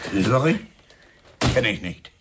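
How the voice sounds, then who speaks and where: An actor playing a friendly, obliging village postmaster who is eager to please, in a German radio drama. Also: male voice, on the low side, very animated, measured pace, noisy background. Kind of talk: German radio play acting.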